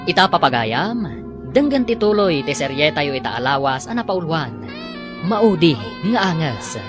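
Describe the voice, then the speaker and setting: Low, swooping woman radio drama narrator. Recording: music under the voice. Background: music.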